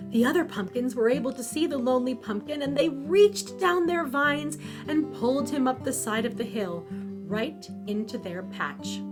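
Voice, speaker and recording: A woman narrating a scripted children's story in an expressive, deliberate storytelling manner. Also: sits high, over music.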